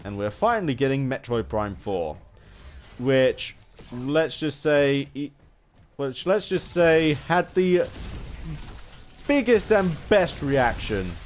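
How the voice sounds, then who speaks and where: A man's voice: medium pitch, a little hiss or hum. A man giving rambling, casual gaming commentary to viewers, often stumbling and restarting his phrases, with an earnest fan's enthusiasm. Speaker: gaming vlogger.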